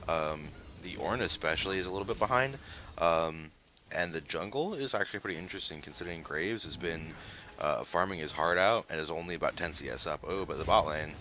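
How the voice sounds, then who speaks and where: A man's voice: low voice, sharp tone. A man giving casual color commentary on a live game match, talking in a hesitant, rambling way. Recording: noisy live-stream mic.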